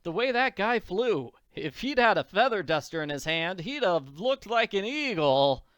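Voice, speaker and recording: Comic-strip orphan girl, male performer: high, clean voice, plucky and slangy. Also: animated, unhurried, pushed and bright, clear, resonant tone, no room sound, clean studio sound. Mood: amused, cheeky. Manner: slangy, exclamatory talk. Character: plucky little orphan girl, slangy, tender toward her dog.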